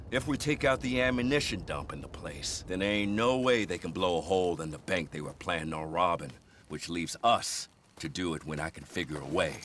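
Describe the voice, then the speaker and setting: A low-voiced American outlaw boss from a Western video game. He is cold and mercenary, with a folksy drawl full of menace. There is faint noise behind him. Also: fairly bright tone. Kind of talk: game cutscene acting.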